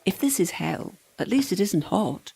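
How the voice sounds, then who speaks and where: A woman's voice: medium pitch, lively intonation, normal speed, vocal fry, warm tone, slightly rough. An actress playing the ghost of a serving girl in a radio play, practical and teasing, with a dry, matter-of-fact manner. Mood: wry, matter-of-fact.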